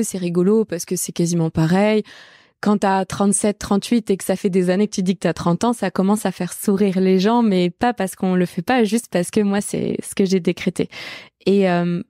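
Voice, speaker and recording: A woman's voice: mid-range voice, steady tone, normal speed, warm tone, no room sound, clean studio sound. A woman in her late thirties hosting an intimate conversational podcast. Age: late 30s. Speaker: podcast host.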